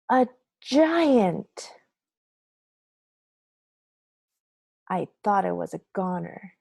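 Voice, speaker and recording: A woman voicing a grumpy, remorseful sunflower seed telling his own story in a children's picture book read-aloud, in a dramatic, deliberate, confessional manner. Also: mid-range voice, vocal fry, dark tone, dry recording, studio quality, exaggerated voice. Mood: wistful recounting.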